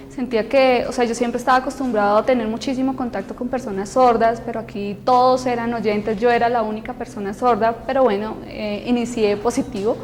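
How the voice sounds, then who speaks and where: A woman interpreting aloud for an interviewee, halting with many fillers and restarts. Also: on the high side, big-room sound, a little hiss or hum. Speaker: sign language interpreter voicing a deaf student. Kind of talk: interview answer, spoken interpretation.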